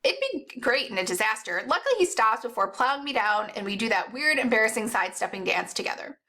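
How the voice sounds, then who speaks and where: A woman reading first-person novel narration aloud in an expressive, animated storytelling way. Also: a little high, fast talker. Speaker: audiobook-style reader.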